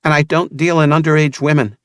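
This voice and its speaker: Man doing a guarded young woman, cynical, raised pitch, dry studio sound, storybook reading. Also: steady tone, quick pace, clear, resonant tone, no room sound, close to an everyday voice. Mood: incredulous. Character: wary, streetwise young woman, tempted by money.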